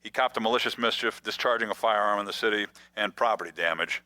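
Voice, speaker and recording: Forceful, dry-sounding police detective. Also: male voice, on the low side, quick pace, no room sound. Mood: matter-of-fact. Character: matter-of-fact male police detective, firm and steady. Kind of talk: radio detective drama acting.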